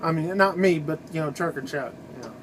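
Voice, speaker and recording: A high-voiced man talks in a loose, folksy vlog style. He is informal and opinionated, rambling along conversationally. A faint steady hum runs behind his voice. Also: a little fast. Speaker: trucker video blogger. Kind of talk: casual rambling vlog monologue.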